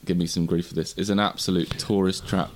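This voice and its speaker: A man's voice: sits low, steady tone, a little fast, slight background noise. An English man with a sore throat, co-hosting a golf podcast and chatting casually, a little sheepish. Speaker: podcast co-host. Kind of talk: casual chat.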